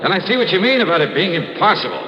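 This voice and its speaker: An actor playing a wry freelance insurance investigator in a 1950s radio drama, asking clipped, skeptical questions. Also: male voice, sits high, big pitch swings, rapid-fire, highs cut off. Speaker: investigator and narrator. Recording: old radio broadcast with loud hiss.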